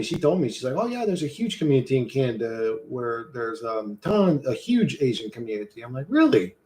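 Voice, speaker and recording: A man's voice: fairly high pitch, fairly bright tone. A man streaming live, chatting casually with his viewers, swearing freely and calling everyone bro. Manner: loose, profane, says "bro" a lot; rambling, reads and answers chat.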